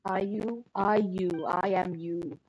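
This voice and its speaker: A woman livestreaming a game with casual, animated, reactive commentary. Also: mid-range voice, slow, lo-fi sound, a little hiss or hum. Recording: game music behind the voice. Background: game music.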